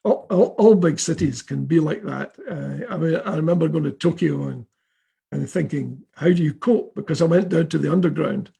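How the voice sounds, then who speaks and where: A male host with a very gravelly, husky voice that sits fairly high for a man. He talks in a chatty way in a casual interview. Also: dark tone.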